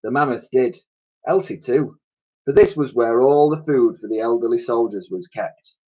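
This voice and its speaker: Male storybook reader for children, expressive narration, slightly muffled lo-fi sound. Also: medium pitch, moderate pace, husky. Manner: expressive, acts out characters' dialogue. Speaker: teacher reading aloud to pupils.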